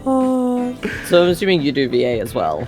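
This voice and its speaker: A man with an Australian accent, a guest in casual chat, unhurried and self-deprecating, laughing easily. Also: fairly high pitch, huge pitch swings, a little mellow, faint music behind.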